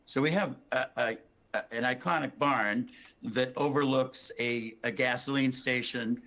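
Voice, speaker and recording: A hoarse man presenting his building plan to a town planning board, rambling with many restarts and fillers. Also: medium pitch, measured pace, a bit bright. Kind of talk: public meeting testimony.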